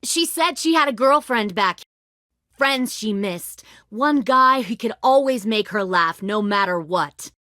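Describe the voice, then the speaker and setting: An actress playing a hardened raider leader in a video game, speaking in a deliberate, threatening, condescending way with bitter control. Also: female voice, on the high side, animated, bright, forceful tone, no room sound, studio quality. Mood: quiet, manipulative. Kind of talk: dramatic video game voice acting.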